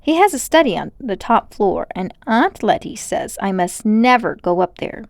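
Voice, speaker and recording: Woman acting storybook schoolboy, indignant, adventurous, emphatic, lively swooping pitch, close dry sound. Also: moderate pace, dry recording. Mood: secretive, earnest. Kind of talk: storybook character voice.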